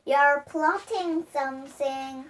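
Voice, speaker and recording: A young girl voicing a spiteful, suspicious old wife from a storybook, slow and threatening. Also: high voice, fairly bright tone. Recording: distant microphone.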